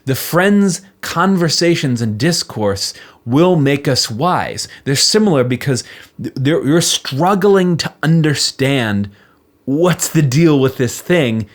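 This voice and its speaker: A man's voice: on the high side, animated, slow pace. A man giving a casual explanatory talk as a presenter, conversational, often posing rhetorical questions and checking in with short tags. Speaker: presenter of a Bible commentary channel.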